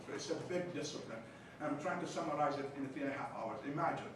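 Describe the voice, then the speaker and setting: A man's voice: a little high, fast. A man from Palestine with a heavy Arabic accent, a university chaplain giving a seminar lecture with jokey, teasing asides. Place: lecture room. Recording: echoey room, distant mic, background noise.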